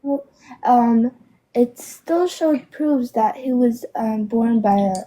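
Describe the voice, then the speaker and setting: Hesitant teenage girl, husky and mellow. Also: fairly high pitch, slow, rough recording. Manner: hesitant, many fillers, unsure answers. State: sick. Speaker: debate guest. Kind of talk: casual debate conversation.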